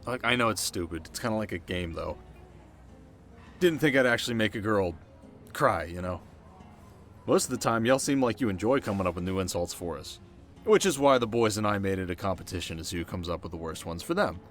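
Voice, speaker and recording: A man playing a cocky but soft-hearted teenage delinquent boy in an ASMR roleplay, talking straight to the listener in casual slang. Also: on the low side, lively intonation, a little fast, faint music behind. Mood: sheepish, sincere. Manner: slangy, casual, stumbling when flustered.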